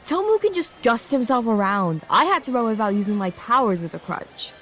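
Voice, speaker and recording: A woman's voice: fairly high pitch, sing-song, brisk, fairly bright tone, soft background music. A woman playing a sensible, inquisitive youngster with a mildly skeptical manner in an audio drama. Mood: relieved.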